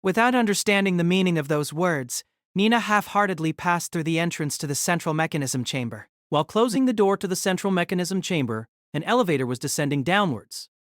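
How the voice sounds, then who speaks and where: A man narrates an audio drama from a script. His voice sits fairly high for a male, and the sound is close and dry. The delivery is dramatic storytelling. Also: expressive, fairly quick, a bit bright, no echo.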